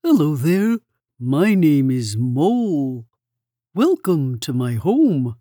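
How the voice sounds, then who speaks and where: A woman's voice: low voice, sing-song, slow pace, mellow, no echo, clean studio sound, heavily acted. A woman voicing a kindly, motherly female mole who soothes a lost friend in a storybook. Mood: soothing. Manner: soothing, with a French greeting. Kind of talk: storybook read-aloud.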